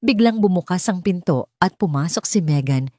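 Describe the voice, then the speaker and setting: A woman's voice: fairly low pitch, lively intonation, normal speed, mellow, no room sound, studio quality. A woman with a Filipino accent reading a scripted romance story as an audiobook narrator.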